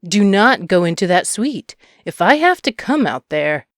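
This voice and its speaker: A woman's voice: medium pitch, sing-song, unhurried, no echo, voice pushed up. Woman reader doing a bossy lady manager in an audio story, fed up, every word clipped out, dry sound. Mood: shrill anger. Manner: enunciates each word, exasperated. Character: annoyed, bossy female housekeeping manager over a comm.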